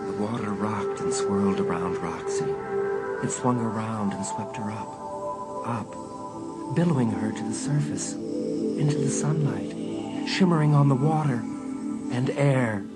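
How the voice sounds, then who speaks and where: A man narrating a dramatised thriller audiobook with suspenseful, deliberate phrasing. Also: lowish voice. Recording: loud music under the narration. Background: loud music.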